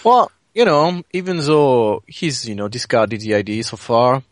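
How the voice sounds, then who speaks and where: A man's voice: mid-range voice, measured pace, dry recording. Hesitant man chatting, very dry sound. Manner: hesitant, punctuated with "you know". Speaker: podcast co-host. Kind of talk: casual chat.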